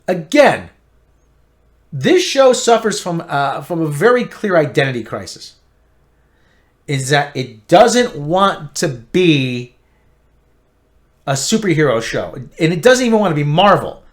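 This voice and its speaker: A man's voice: sing-song, a little slow, pauses often. Emphatic man ranting a TV review. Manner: emphatic, repetitive, swings between loud outbursts and low grumbling. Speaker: online pop-culture commentator. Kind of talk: opinionated TV review rant.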